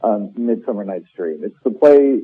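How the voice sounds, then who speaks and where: A man's voice: fairly low pitch, lively intonation, moderate pace, husky. A man giving a casual interview as a playwright, talking reflectively and often correcting himself as he goes. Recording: muffled phone-like line.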